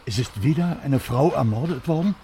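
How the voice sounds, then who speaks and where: A man's voice: mid-range voice, expressive, moderate pace, natural voice. An actor playing a middle-aged father in a radio drama, affectionate, thrifty and inquisitive. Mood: indignant, curious. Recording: very noisy outdoor street scene.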